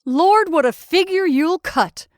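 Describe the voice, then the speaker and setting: A woman's voice: extremely high pitch, animated, slow, no room sound, studio quality, pitched above the performer's own voice, exaggerated voice. A woman voicing a stubborn, domineering, exasperated man in an audiobook, with a defiant, barking, taunting manner. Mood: hard taunting. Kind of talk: audiobook character acting.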